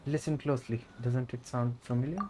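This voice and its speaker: A man's voice: medium pitch, fairly even intonation, fairly quick, very noisy. A man who is a native Hindi speaker, reading game dialogue aloud as a streamer. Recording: game music clearly behind.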